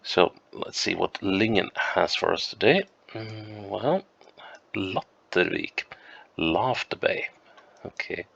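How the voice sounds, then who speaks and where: A man with a flu, sounding croaky and sniffly, thinking aloud slowly and hesitantly as he plays a map game at home. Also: low voice, animated, a bit bright, highs cut off. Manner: slow, musing, hesitant with many ums. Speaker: game streamer. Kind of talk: thinking-aloud gameplay commentary.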